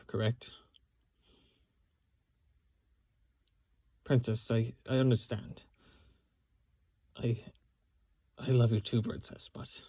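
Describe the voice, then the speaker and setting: A man playing a loyal young knight in love with his princess, speaking gently and earnestly with halting pauses in a romantic audio roleplay. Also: mid-range voice, slightly rough, dry recording. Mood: torn, sorrowful. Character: loyal young knight, the princess's tender childhood-friend lover. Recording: orchestral music behind the voice.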